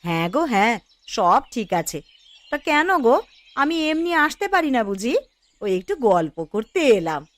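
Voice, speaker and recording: A woman's voice: fairly high pitch, very animated, moderate pace, no echo. A woman voicing a gossipy married village woman in a cartoon, sighing and complaining about home life. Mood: teasing. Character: complaining married village woman visiting, fed up with miserly husband. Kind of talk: cartoon voice acting.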